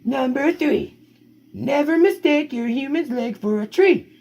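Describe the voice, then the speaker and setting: Guy doing a talky storybook dog lady, squeaky high, gravelly, bouncy sing-song. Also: animated, measured pace, a little mellow, exaggerated voice. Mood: chatty. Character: chatty talking female dog, endlessly rambling. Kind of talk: reading a picture book aloud.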